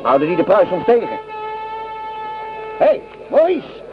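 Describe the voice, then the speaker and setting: Bitter, grumbling soldier, swinging wildly in pitch. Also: male voice, huge pitch swings, unhurried, music in the background, exaggerated voice. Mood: bitter scorn. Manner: coarse, sneering complaints. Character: bitter grumbling male French common soldier, hungry and mocking. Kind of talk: Dutch radio drama acting. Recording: dull lo-fi radio play recording.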